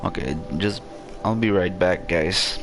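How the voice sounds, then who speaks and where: Low-voiced man slowly chatting over game music. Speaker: livestreamer. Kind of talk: casual gameplay commentary. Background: video game music.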